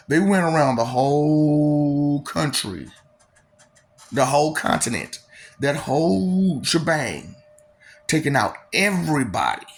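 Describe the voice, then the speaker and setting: Preachy man with a drawling monologue. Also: medium pitch. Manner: preachy, rhetorical questions, lots of filler. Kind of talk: casual monologue.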